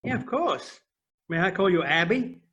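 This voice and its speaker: An actor in an audio play playing a helpful American official, reassuring and gently joking. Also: male voice, high voice, animated, moderate pace, lo-fi sound. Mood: genial, joking. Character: helpful, genial American official living in Austria.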